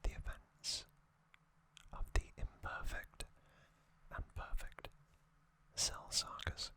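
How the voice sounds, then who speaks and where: An ASMR host whispering trivia narration slowly and deliberately in short phrases, with a voice slightly strained from singing. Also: sharp tone. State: voice slightly off after singing hard.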